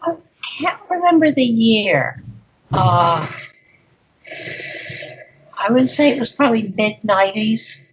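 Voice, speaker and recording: An older woman, a guest speaker, talking through an interview in an unhurried, rambling way with plenty of fillers. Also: on the high side, lively intonation, breathy edge, low-quality recording. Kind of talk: conversational interview.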